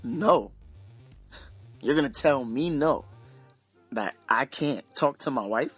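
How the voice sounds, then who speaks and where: An actor playing an angry estranged husband in an audio drama, confrontational and defiant. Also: male voice, medium pitch, animated, slow, husky, no echo, soft background music, full character voice.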